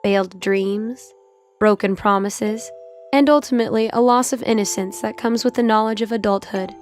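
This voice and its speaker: A woman's voice: medium pitch, steady tone, moderate pace, a little mellow. A woman reading scripted, dramatic story narration for an audio drama.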